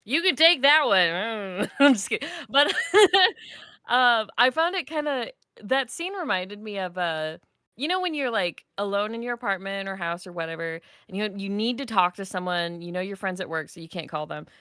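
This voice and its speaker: A woman's voice: mid-range voice, lively intonation, moderate pace, pushed and bright, clean tone, no echo, studio quality. A woman chatting casually as a panelist in a playful, giggly manner. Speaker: podcast live event panelist. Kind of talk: casual chat.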